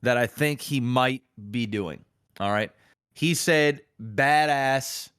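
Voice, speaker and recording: Casting: man, podcast host, medium pitch, opinionated and emphatic, slangy, sweary, casual delivery. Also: slow, a bit bright, smooth, no echo, studio quality.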